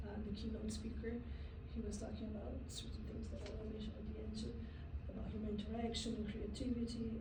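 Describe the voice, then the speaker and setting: A woman from Brazil with a Brazilian Portuguese accent, a language teacher giving a conversational conference talk with many fillers and soft laughs. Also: fairly high pitch, noisy background. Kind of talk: conference presentation. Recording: echoey hall recorded from a distance.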